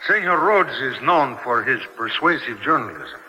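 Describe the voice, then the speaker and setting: An actor playing a brisk, formal South official in a reverberant radio drama recording. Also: male voice, medium pitch, animated, pushed and bright.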